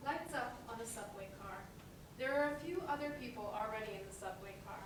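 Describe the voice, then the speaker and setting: A woman's voice: on the high side, lively intonation, a little fast, noisy background. Woman narrating, very echoey distant room. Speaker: stage directions reader. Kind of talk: scripted narration.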